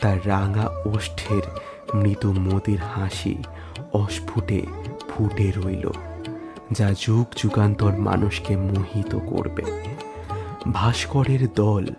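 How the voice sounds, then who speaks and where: A man reads a literary tale as an audio story narrator. His voice sits fairly low and he takes it very slowly, setting phrases apart with solemn, deliberate pauses. Instrumental music plays clearly behind him. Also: fairly even intonation. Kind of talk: scripted dramatic literary storytelling. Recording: music under the voice.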